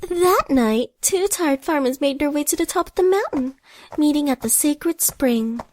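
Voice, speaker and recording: A woman's voice: high-pitched, expressive, normal speed, no echo. A woman reading scripted narration for a radio play.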